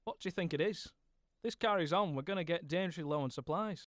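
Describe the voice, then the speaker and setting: A man's voice: a little high, quick pace, dry recording, studio quality, close to an everyday voice. An actor playing a blunt, grumbling, food-loving submarine crewman in a radio drama, with informal speech. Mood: worried.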